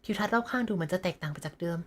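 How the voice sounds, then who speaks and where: A woman's voice: lowish voice, very fast. A woman with a Thai accent livestreaming a game, chatting casually and reading dialogue aloud.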